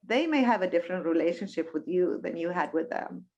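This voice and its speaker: A woman's voice: fairly low pitch, animated, a little fast, darkish tone, highs cut off. A woman speaking thoughtfully as a panel guest. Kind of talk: reflective conversation.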